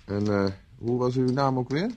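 An actor from the Netherlands playing a wry, flirtatious gentleman bachelor in a radio drama. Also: medium pitch, normal speed, highs cut off, natural voice. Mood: puzzled, wry. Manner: wry, courteous, mock-grumbling. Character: suave, wry, flirtatious bachelor, friend of a gambler.

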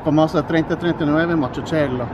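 A man's voice: a little high, fairly even intonation, fairly quick, highs cut off, noisy background. A middle-aged man casually explaining things to a camera on a residential street as a vlog guest. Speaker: host's husband, vlog guest. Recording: music over outdoor voice.

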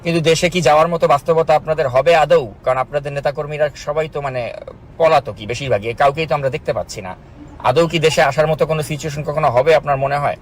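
A man's voice: a little high, fast. A man with a Bangladeshi accent working as a journalist, pressing insistent, challenging questions in a confrontational phone interview and often cutting in. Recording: phone interview with clear background noise.

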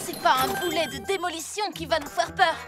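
Eager little superhero boy, cartoon, really high pitched, headstrong and sure, music behind. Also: brisk. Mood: urgent. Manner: confident, eager, urgent. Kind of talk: French cartoon dubbing. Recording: background music throughout.

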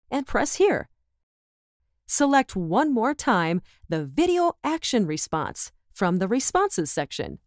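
Lively-pitched woman narrating a software tutorial. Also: dry recording, clean studio sound. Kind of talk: scripted step-by-step narration. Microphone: close voice-over microphone, dry.

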